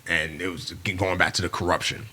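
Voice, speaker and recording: Deep, bright-voiced man telling stories. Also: a little fast. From Nigeria. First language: Yoruba. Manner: animated storyteller who acts out quoted people. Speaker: podcast guest. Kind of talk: storytelling in a long-form interview.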